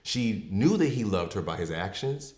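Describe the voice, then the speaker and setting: A man in his thirties with a deep voice, telling his personal story as a podcast guest in an open, reflective way. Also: big pitch swings, moderate pace, a little mellow. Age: 30s. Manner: reflective and open, with frequent fillers. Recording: echoey room.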